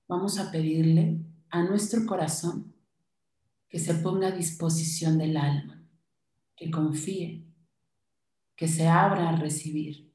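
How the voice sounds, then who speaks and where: A woman with a low, mellow voice leads a guided meditation. She soothes and instructs in short, spaced phrases. The sound is roomy and echoing, with music behind her.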